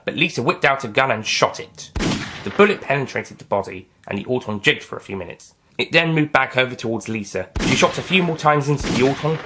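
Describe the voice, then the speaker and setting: A man's voice: medium pitch, fairly bright tone, a little hiss or hum. A man reading a scripted science-fiction adventure story as its author and narrator.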